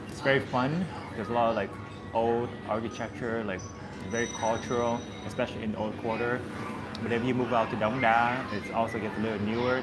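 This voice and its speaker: A man from Southern Vietnam chatting casually with his viewers as a vlogger, rambling and easygoing with plenty of fillers. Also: on the low side. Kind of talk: casual chat with live viewers. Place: busy street outdoors. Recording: loud street traffic behind. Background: loud traffic and street noise.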